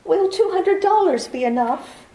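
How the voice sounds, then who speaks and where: A woman's voice: extremely high pitch, animated, warm tone, roomy sound, heavily acted. A woman playing a nervous, pleading young woman client. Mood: anxious. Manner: halting, breathless, stammering. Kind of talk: 1940s radio drama acting.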